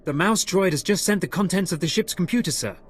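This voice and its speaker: A man's voice: high-pitched, expressive, fast, slightly rough. A male actor playing a dutiful, anxious young operations technician in a video game, reporting in a clipped manner. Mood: alert, tense.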